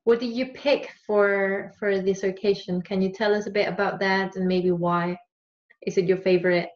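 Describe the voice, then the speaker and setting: A woman's voice: mid-range voice, flat delivery, normal speed. Young female host, friendly questions with frequent laughs, dull video call sound from a room by a window. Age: young adult. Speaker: interviewer. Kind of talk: casual video call interview.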